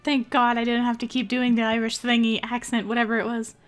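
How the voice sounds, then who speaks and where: Woman, high voice, very animated, casual banter, game playthrough, soft music behind. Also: a little fast. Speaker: amateur voice actor in a game playthrough. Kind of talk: casual chat, playful banter.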